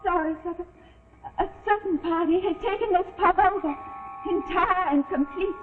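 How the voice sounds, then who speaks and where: High-voiced, firm inn servant girl. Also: moderate pace. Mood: polite, unyielding. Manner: deferential but unyielding, formulaic politeness. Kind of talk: 1940s live television suspense acting. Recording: tinny, echoing 1949 television soundtrack with music.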